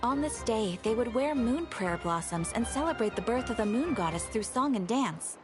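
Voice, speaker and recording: A woman's voice: fairly high pitch, animated, moderate pace, over music, close to an everyday voice. A woman playing a polite, composed guild receptionist in a video game, speaking formally and helpfully. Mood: informative. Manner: formal, courteous, explanatory. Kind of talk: scripted video game dialogue.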